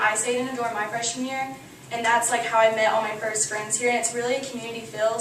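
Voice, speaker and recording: A young woman from Texas, a student architect, presenting a class project in a loose, conversational way with lots of fillers. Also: on the high side. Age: young adult. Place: echoey presentation room. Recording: echoey room from far off, noisy.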